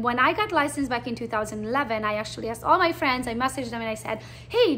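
A woman's voice: high voice, a little hiss or hum. A Romanian-born woman in her forties with a Hungarian accent, chatting bluntly about her realtor career in a recruiting presentation. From Transylvania, Romania. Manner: chatty, blunt, animated storytelling.

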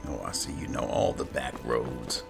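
A man with a gravelly voice giving casual in-character commentary as he plays a roleplaying video game. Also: very deep voice, fairly even intonation, normal speed, over music. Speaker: gamer playing in character.

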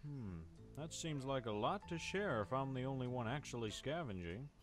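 A man voicing a naive, kindly, trusting guinea pig with a gentle, hesitant, courteous manner in a cartoon game. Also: mid-range voice, animated, normal speed, soft background music, close to an everyday voice. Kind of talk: cartoon adventure game voice acting.

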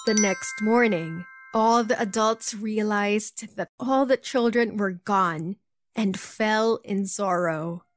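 A woman's voice: mid-range voice, slow, soft background music. A woman narrating a scripted bedtime story for children.

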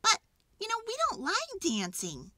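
A woman's voice: extremely high pitch, wildly swooping pitch, a little slow, sharp tone, dry recording, voice pushed up, exaggerated voice. A woman voicing a blunt, whiny, reluctant male animal in a children's storybook. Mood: fed up. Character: reluctant, outspoken grumpy male party animal.

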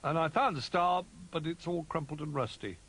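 A man's voice: fairly high pitch, moderate pace, a bit bright, slightly rough, pitched above the performer's own voice, low-quality recording. A man voicing an eager, wide-eyed little boy bear cub in a children's storybook, with childlike, exclaiming earnestness. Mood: earnest, sorry.

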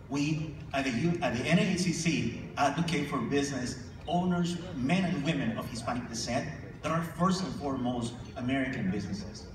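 A man giving a formal, emphatic speech from a podium on a convention stage, leading calls for applause. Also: medium pitch. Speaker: chamber of commerce leader presenting awards. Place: large convention ballroom stage. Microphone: podium microphone, filmed from far in the audience. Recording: echoey hall, distant mic, audience noise. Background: audience ambience.

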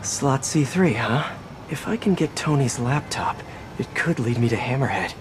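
An actor playing a quippy young American superhero who mutters wryly to himself in a video game. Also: male voice, mid-range voice, animated, normal speed, lightly acted. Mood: focused, quippy. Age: young adult. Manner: wry self-talk. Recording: clear city street noise behind.

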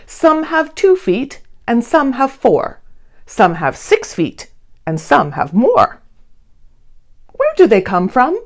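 A woman gives a theatrical read-aloud of a children's picture book. Her pitch swings widely from phrase to phrase, and she takes her time, shaping each rhyme deliberately. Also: wildly swooping pitch. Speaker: children's storybook reader. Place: small indoor studio with blue backdrop.

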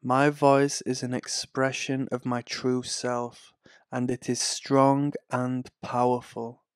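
A man reading guided affirmations in a calm, soothing, scripted way. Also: mid-range voice, smooth, dry recording, studio quality. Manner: slow, calm, soothing delivery. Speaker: affirmation narrator.

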